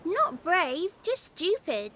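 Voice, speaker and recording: A little peasant kid in a fantasy game, piping and very high with a bright, sharp edge. The child is cheeky, blunt and bossy. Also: female voice, sing-song, takes it slowly, slight background noise, exaggerated voice. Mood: matter-of-fact. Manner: blunt, rustic childish speech. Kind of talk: video game character acting.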